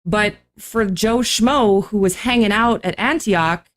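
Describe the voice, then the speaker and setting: Woman podcast guest, chatty explainer, asks her own questions as she goes, middling pitch. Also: slow pace. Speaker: guest, archaeology graduate. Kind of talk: casual podcast conversation.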